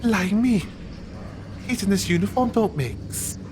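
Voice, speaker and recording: A man's voice: sits high, animated, a little slow, a little mellow, slight background noise. A man playing a working-class, grumbling Victorian in uniform, in an audio drama.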